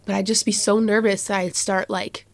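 A woman's voice: mid-range voice, little pitch movement, normal speed. A young woman in her late teens chatting casually as a student guest, rambling and self-deprecating, saying like often. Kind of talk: casual chat.

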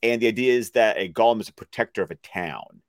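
Lower-voiced man nerding out in casual chat. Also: fast, no room sound, clean studio sound. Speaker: co-host of animated series discussion show. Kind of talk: casual chat, explaining references.

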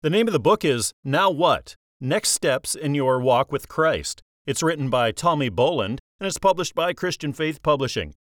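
A man's voice: on the high side, expressive, moderate pace, hardly any pauses, fairly bright tone, dry recording, studio quality. A man hosting an author interview show in a polished radio style, with an upbeat announcer's delivery.

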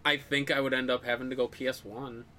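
Male podcast host, bright voice, informal and sweary, casual gaming chat, faint noise behind. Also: flat delivery, fast talker. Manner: informal, profane. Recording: faint background noise and low rumble.

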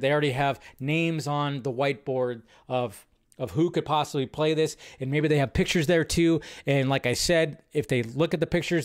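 Male live-show host, higher-pitched voice, loose off-the-cuff commentary, tends to repeat himself while thinking aloud. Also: smooth. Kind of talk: casual rambling commentary.

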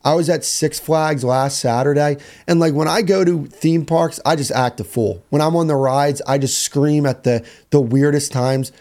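A young man with a hoarse voice, hosting a solo sports podcast in a casual, rambling way that repeats phrases. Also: on the high side, moderate pace, hardly any pauses, darkish tone. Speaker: podcast host.

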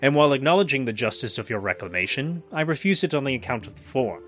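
A man's voice: medium pitch, animated, quick pace, a bit bright, soft background music, lightly acted. A man voicing a mild-mannered but cold, haughty musketeer with formal, cutting politeness, in a dramatized storybook reading.

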